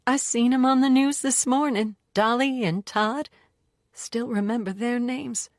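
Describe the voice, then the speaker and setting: A woman voicing a blunt, folksy Southern woman with an Alabama drawl in an audiobook, speaking plainly in rough country grammar. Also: a little high, fairly even intonation, measured pace, no room sound, clean studio sound, voice pushed up. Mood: remorseful. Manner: folksy ungrammatical speech, plain-spoken. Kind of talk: audiobook character voice.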